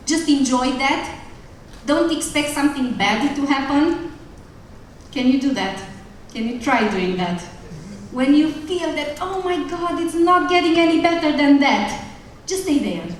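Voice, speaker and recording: A woman with a Romanian accent speaks a little high, addressing graduating students with jokes and a direct tone. She sounds far from the mic, and her voice rings through a large, echoey hall. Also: a little hiss or hum. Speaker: teacher. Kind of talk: graduation speech.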